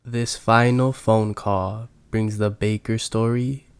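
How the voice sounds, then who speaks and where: Lowish-voiced man narrating over music. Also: steady tone, slow pace. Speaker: video game lore narrator. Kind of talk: scripted narration. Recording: game music under the voice.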